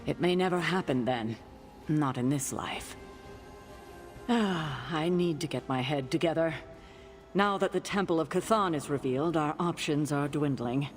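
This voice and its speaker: An actress playing a troubled, grieving heroine in a video game cutscene, speaking formally with weary self-reproach. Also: female voice, deep voice, measured pace. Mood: weary, resigned. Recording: music behind the voice.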